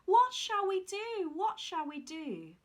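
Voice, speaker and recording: A woman's voice: extremely high pitch, big pitch swings, takes it slowly, pitched above the performer's own voice, heavily acted. A woman voicing a hard-working, mournful but practical mother in a storybook reading. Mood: despairing.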